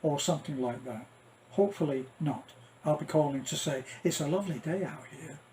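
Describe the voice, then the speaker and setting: A man's voice: fairly high pitch, brisk, mellow, a little hiss or hum, rough recording. An older man chatting casually to viewers as a vlogger, wry and self-deprecating, drifting off into asides. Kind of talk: casual rambling vlog chat to viewers.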